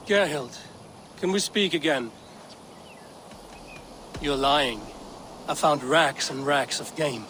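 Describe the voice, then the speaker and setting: An actor playing a stern Viking warrior questioning suspects in a video game, terse and firm. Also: male voice, fairly high pitch, lively intonation, slow pace, pauses often, sharp tone, a little hiss or hum, exaggerated voice. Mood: accusing. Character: stern, probing Viking warrior investigating a betrayal. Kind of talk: video game dramatic acting.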